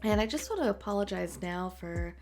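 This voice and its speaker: A woman in her late twenties, an artist and tattooer, chatting in a voice-over over a speedpaint, raspy and congested from a cold, talking softly with many ums. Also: mid-range voice, lively intonation, fairly quick. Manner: soft, rambling, many ums and uhs. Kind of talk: voice-over chat during a speedpaint. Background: faint music.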